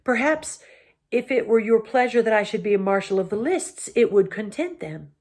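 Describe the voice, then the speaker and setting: A woman's voice: mid-range voice, animated, moderate pace, a little mellow, pitched above the performer's own voice, close to an everyday voice. A woman voicing a tiny, proud male mouse knight who speaks shrilly and with courtly formality. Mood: earnest. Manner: courtly, formal, touchy about honor.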